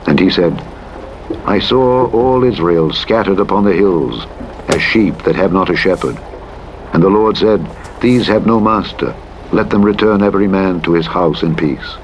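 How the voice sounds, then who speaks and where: Deep-voiced man reading scripture over truck noise. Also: animated, highs cut off. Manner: measured, formal, dramatic reading. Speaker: audiobook Bible narrator. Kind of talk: solemn scripted scripture narration. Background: truck engine and vehicle sounds from driving simulator game.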